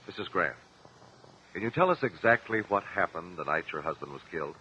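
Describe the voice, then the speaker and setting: An actor playing a composed attorney in a radio courtroom drama, questioning witnesses in a measured, persistent way. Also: male voice, sits low, expressive, sharp tone. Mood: insistent. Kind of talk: old-time radio courtroom drama acting. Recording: dull, noisy old radio recording.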